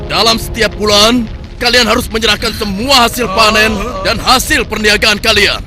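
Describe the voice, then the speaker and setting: A man's voice: high voice, moderate pace, lightly acted. A man doing a domineering army commander. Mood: commanding. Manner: barking orders, proclamatory. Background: music.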